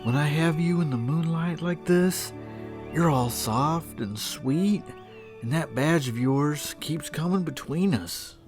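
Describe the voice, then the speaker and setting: A man's voice: a little high, animated, slow, over music. A man playing a proud, chauvinist cowboy suitor in a comic western drama, speaking in folksy cowboy talk. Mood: lovesick, pleading.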